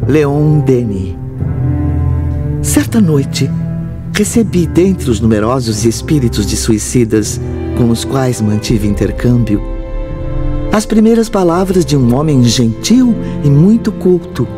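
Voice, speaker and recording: A performer playing an earnest, devout spiritist medium, narrating an audio drama in a measured, formal, solemn way. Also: medium pitch, expressive, over music. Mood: reflective, earnest. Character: young spiritist medium, earnest and devout, recalling past work.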